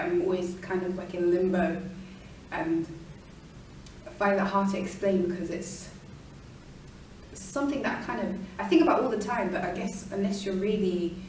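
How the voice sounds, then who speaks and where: Young woman, lowish voice, kinda musing, lots of "i guess", echoey room, mic far away. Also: a little mellow, slight background noise. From London, England. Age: young adult. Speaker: interviewee. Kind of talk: reflective interview answers. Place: indoor room with windows.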